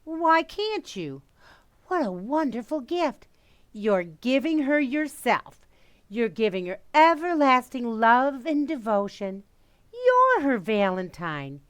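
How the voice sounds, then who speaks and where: A woman's voice: sits high, sing-song, takes it slowly, clear, resonant tone, exaggerated voice. A woman playing a gushing, dreamy young girl in an old-time radio comedy, earnestly romantic and emphatic. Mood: swooning.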